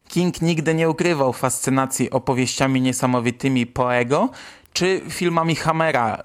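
A man hosting and reading scripted narration. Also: medium pitch. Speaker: host.

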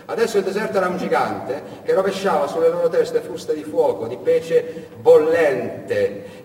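Echoey, distant male stage storyteller. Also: fairly high pitch. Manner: expressive, swinging from hushed to shouted, with dramatic pauses. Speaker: storyteller, theatre monologue performer. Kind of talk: dramatic theatrical narration of a story. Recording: echoey hall, far from mic, noisy.

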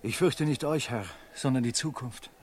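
A man's voice: mid-range voice, moderate pace, lightly acted. An actor playing a proud, bitter enslaved man of about thirty, earnest and defiant, in a radio play. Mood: desperate pleading. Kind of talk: German radio play acting.

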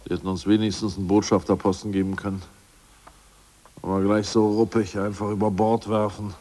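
An actor playing an anxious, complaining ousted party official in a radio drama. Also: male voice, fairly low pitch, little pitch movement, brisk, mellow, close to an everyday voice. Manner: fretful, plaintive, complaining. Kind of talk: 1980s radio drama acting.

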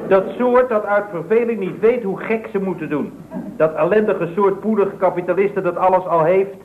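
Actor as an indignant ranting workman, high voice, 1960s radio comedy, echoey and faintly hissy. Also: normal speed, lightly acted. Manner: ranting, indignant, deferential "chef" at every turn. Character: indignant male employee, fitness fanatic, ranting leftist. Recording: echoey, tinny old radio broadcast with faint hiss.

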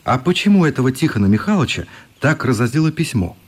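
An actor playing a kindly, curious grown man in a radio play, talking informally and gently probing. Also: medium pitch, big pitch swings, quick pace, breathy edge. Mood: frank, questioning.

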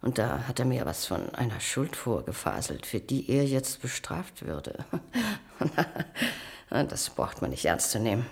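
Low-voiced, stern institutional matron. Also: female voice, very animated, creaky, a little mellow, full character voice. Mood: dismissive, callous. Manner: authoritative, brisk, condescending. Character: strict, cold institutional matron with a callous streak. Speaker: head matron instructing a new attendant. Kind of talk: German radio play acting.

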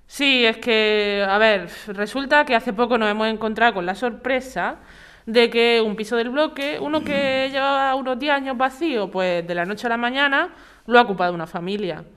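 A woman's voice: fairly high pitch, normal speed, fairly bright tone, clear, resonant tone, natural voice. A woman playing a stressed, exasperated daughter-in-law in a radio drama. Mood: worried, indignant.

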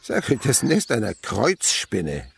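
Gravelly, whimsical scholar, animated. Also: male voice, fairly low pitch, slow, slight background noise, exaggerated voice. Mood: pedantic, sceptical. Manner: pedantic lecturing, playful. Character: whimsical, learned, sceptical insect researcher and family father. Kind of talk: German radio play acting.

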